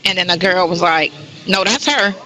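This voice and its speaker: A woman's voice: on the low side, normal speed, bright, forceful tone, poor audio. A woman in her fifties chatting casually on a call, blunt and slangy as she tells stories and quotes what others said. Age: 50s. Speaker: caller on a prison call. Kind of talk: casual gossipy phone chat.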